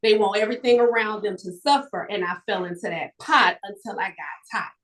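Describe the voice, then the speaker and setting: A woman talks as a motivational guest on a live stream. Her delivery is emphatic and animated, projecting clearly like a storyteller, at a middling pitch. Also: a little fast. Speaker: guest author and entrepreneur.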